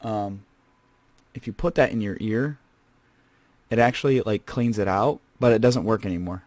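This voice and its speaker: A man's voice: lowish voice, normal speed, darkish tone, no echo, close to an everyday voice. A man playing a rambling, casual male scavenger who hosts a comedic in-character podcast. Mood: chatty. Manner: rambling and casual, lots of fillers. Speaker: host.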